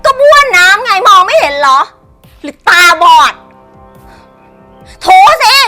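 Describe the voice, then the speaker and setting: A woman's voice: very high voice, bright, forceful tone, heavily acted. An actress playing a spoiled, jealous young wife who screams and sobs accusations at her husband and scorns the maid. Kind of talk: Thai melodrama acting.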